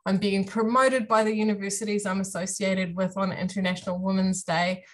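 A woman's voice: mid-range voice, level tone, fairly quick. A woman in her thirties with a New Zealand accent gives a friendly, self-deprecating career talk to students over a video call from a room at home. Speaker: university lecturer giving a career talk. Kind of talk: online presentation to students. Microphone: webcam on a video call.

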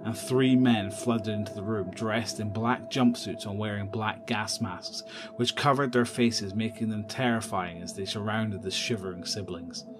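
A man narrating a horror audio drama in a measured, descriptive storytelling manner. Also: fairly low pitch, fairly even intonation, music in the background.

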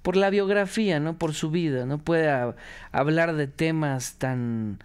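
Mexican male, fairly high clean voice, casual reflective interview. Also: a little slow, clean tone. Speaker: radio performer.

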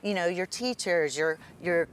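A woman's voice: fairly low pitch, measured pace, a little hiss or hum. A middle-aged woman from New Orleans, a real estate agent, chatting enthusiastically in an outdoor interview. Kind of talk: interview answers. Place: outdoors on a city sidewalk.

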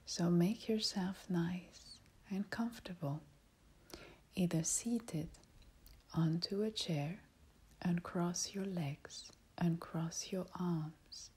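Very slow, breathy woman guiding meditation. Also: on the low side. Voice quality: soft, breathy, half-whispered. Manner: calm, soothing, with long pauses. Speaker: meditation and yoga instructor. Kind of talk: slow guided meditation narration.

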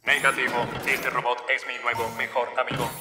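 A man's voice: mid-range voice, little pitch movement, moderate pace, natural voice. A man with a Latin American Spanish accent voicing a stiff, stubborn robot sidekick in a children's cartoon, with clipped delivery. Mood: defiant. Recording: echoey reverb under loud music.

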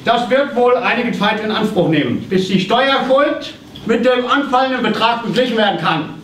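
A man performs an officious tax investigator in a stage comedy, pedantic and pompous. The voice sits high and carries across an echoey theatre, picked up from afar with clear noise. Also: lively intonation, normal speed, a bit bright. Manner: officious, legalistic, hesitating. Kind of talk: live comedy radio play on stage. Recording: distant camera in echoey theatre, noisy.

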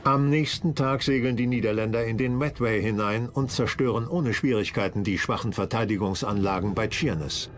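A man's voice: fairly low pitch, moderate pace, few pauses. A man reading scripted narration for a history documentary.

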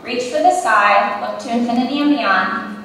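A young woman gives an uplifting commencement speech at a measured pace. Her voice is slightly high and bounces around a large hall. She is heard from afar through the podium mic, with faint background hiss. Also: a bit bright, recorded from a distance. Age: young adult. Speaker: student speaker. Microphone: podium microphone.

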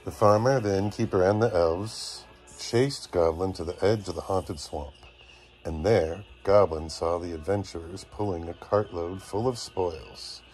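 A father reads a bedtime storybook aloud in a low voice. He takes his time and tells it expressively, with slow phrasing, as a man in his thirties. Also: a little mellow, soft background music. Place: small dim bedroom, lying down beside children. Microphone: phone at arm's length.

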